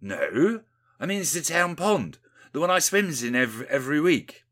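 A man's voice: on the high side, sing-song, moderate pace, a bit bright, pitched above the performer's own voice. A man voicing an indignant, boozy, downtrodden village miller with a blurry, plaintive way of talking in a satirical storybook parody.

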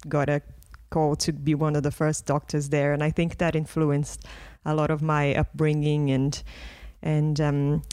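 A woman's voice: sits low, a little mellow, smooth, no room sound. A middle-aged Brazilian woman whose accent mixes Brazilian and South London, chatting as an interview guest, laughing often and restarting her phrases. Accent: Brazilian Portuguese with South London. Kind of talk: casual interview chat.

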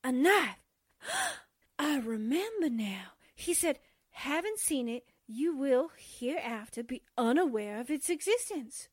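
Anxious young heroine, played by a woman, high swooping voice, slow breathless delivery, vintage radio drama. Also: big pitch swings, exaggerated voice. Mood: bewildered. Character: anxious, bewildered young woman recovering from hypnosis. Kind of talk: 1940s radio drama acting.

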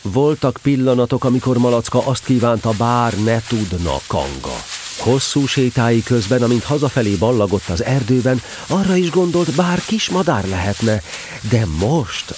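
A man's voice: mid-range voice, noisy background. A man narrating a children's story from a script as part of an audio drama.

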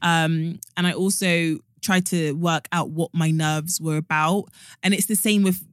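A woman's voice: on the low side, steady tone, a little slow, dry recording, studio quality. A young woman, co-hosting a podcast and giving frank, joking advice. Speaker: podcast co-host. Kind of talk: casual podcast chat and advice.

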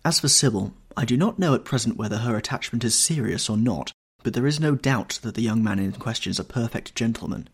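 Man playing a vain, theatrical faded actress, husky. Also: medium pitch, lively intonation, fairly quick, hardly any pauses, voice pushed up. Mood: complacent, genteel. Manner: stately, genteel, theatrical. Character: vain, affected, faded actress mother, shallow and complaining. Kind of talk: audiobook character dialogue.

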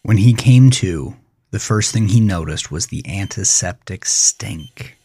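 A man narrating a scripted horror story in a dramatic, deliberate and intense manner. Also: low-pitched, expressive, dark tone, slightly rough, no echo. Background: music.

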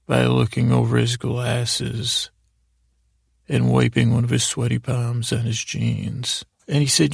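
A man with a heavily creaky, gravelly voice tells a rambling, improvised bedtime story. His pitch stays very flat and even while he wanders through friendly digressions, stopping and restarting. The sound is close and dry. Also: no echo. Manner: meandering, halting, repeats and trails off; mild, friendly, absurdly digressive. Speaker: podcast host telling bedtime stories.

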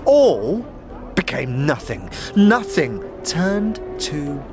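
A man playing a crazed, ragged old beggar who tells cryptic tales theatrically in an audio drama, halting and repeating phrases with erratic swings. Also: a little high, very animated, heavily acted. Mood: theatrical, mocking. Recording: music under the voice.

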